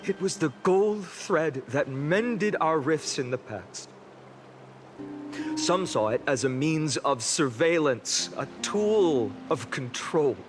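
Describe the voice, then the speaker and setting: A woman playing a solemn, resolute leader in a video game, giving a measured, earnest speech to a grieving city. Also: deep voice, animated. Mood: solemn, reflective. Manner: measured, earnest, deliberate rhetorical delivery. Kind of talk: dramatic oratory, video game voice acting. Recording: orchestral music behind the voice.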